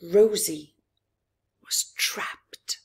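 A middle-aged woman reading a story aloud to young children, expressively, with dramatic pauses and chatty asides. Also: lowish voice, creaky, husky, whispered. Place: living room, indoors.

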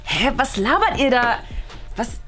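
A woman's voice: a little high, very animated, measured pace, fairly bright tone, soft background music. A woman playing a sassy, unimpressed schoolgirl in a children's comedy skit, matter-of-fact and sardonic. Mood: baffled, sassy.

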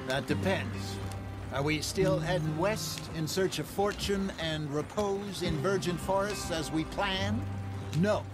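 A man's voice: high-pitched, slow pace. An actor playing an eloquent, wry older con man with an American accent in a western game. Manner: wordy, theatrical, wry. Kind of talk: cinematic western game acting. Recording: music and wagon sounds behind.

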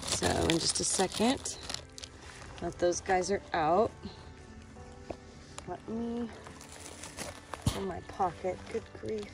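A woman's voice: on the low side, slow pace, gravelly, long pauses, music in the background. A woman in her thirties vlogging casually outdoors, enthusiastic and informal, full of exclamations, recorded on a handheld camera. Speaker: vlogger and rock-hunting host. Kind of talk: casual outdoor vlog chat. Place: outdoors on a rocky shore.

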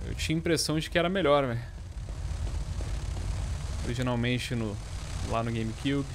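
A man's voice: mid-range voice, normal speed, very noisy. A man, giving casual, slangy, sweary live gameplay commentary as a streamer. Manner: slangy, sweary, excitable banter. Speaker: game streamer. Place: small indoor room.

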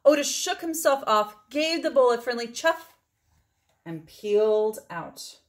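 A middle-aged woman reads a children's storybook aloud, with dramatic phrasing and drawn-out words for emphasis. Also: a little high, big pitch swings. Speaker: storybook reader. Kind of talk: expressive read-aloud of a children's picture book.

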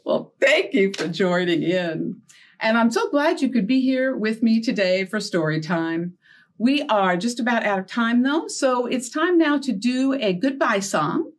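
A middle-aged woman hosting a storytime for young children, talking in an animated way with simple questions and playful surprise. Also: on the high side, measured pace. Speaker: children's librarian and storytime host. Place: small indoor set with felt board and book cart.

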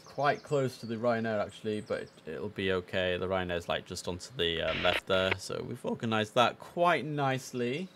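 A young man with a headset, streaming an air traffic control game, chatting casually and reading out radio calls in a relaxed way that repeats words for emphasis. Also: fairly low pitch, normal speed, hardly any pauses.

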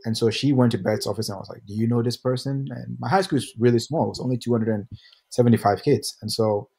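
A young man from Ghana, a pro soccer player, telling his story in a relaxed, rambling way as a podcast guest over a video call. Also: medium pitch, quick pace, gravelly, slightly rough. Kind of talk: casual interview answers.

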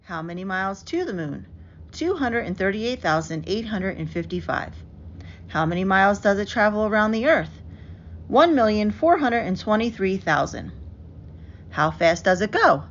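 A woman reads a children's picture book aloud in an expressive, carefully enunciated storytelling manner. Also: mid-range voice, normal speed. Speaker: storybook reader. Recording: dull lo-fi audio with clear background noise.

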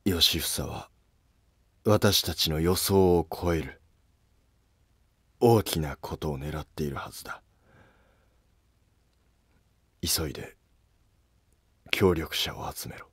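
A man's voice: extremely low pitch, a bit bright, slightly rough, dry recording, clean studio sound, voice pushed down, full character voice. A man voicing an ailing old retired emperor, weary and solemn, in a historical drama. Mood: grave, urgent. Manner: slow, solemn, lordly speech. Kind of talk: scripted historical drama dialogue.

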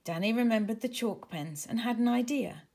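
A woman reading a children's storybook aloud in an expressive, deliberate way. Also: fairly high pitch. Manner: animated, deliberate. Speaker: author reading own storybook.

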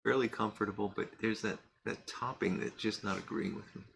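A middle-aged man chatting casually to camera in a cluttered workshop, rambling with fillers and pausing to puff on a pipe. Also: on the low side, creaky, a little hiss or hum. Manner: relaxed and rambling, many fillers and restarts; pauses to puff on a pipe while talking. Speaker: pipe-smoking vlogger. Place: cluttered workshop room, indoors.

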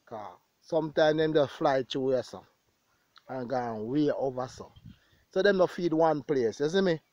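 A man's voice: fairly high pitch, measured pace, a little mellow, dry recording, lo-fi sound. A man with a Jamaican accent talking casually to viewers as a farmer vlogging outdoors, repeating phrases for emphasis. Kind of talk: casual vlog talk to viewers. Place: outdoors in an open grassy pasture.

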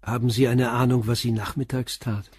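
An actor playing a calm, persistent police commissioner who asks short, probing questions in a radio drama. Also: male voice, mid-range voice, moderate pace, slightly rough, no echo, clean studio sound, natural voice.

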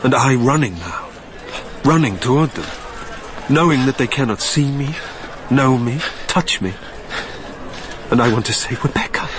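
A man's voice: mid-range voice, lively intonation, a little slow, long pauses, noisy background, natural voice. A man playing a wistful, haunted dead man, narrating a radio drama in a literary, brooding way. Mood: yearning. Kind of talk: dramatic first-person scripted narration.

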